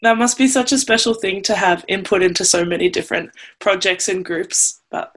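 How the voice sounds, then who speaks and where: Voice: mid-range voice, brisk, fairly bright tone. An interviewer asking friendly questions and encouraging the guest. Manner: encouraging, laughs along.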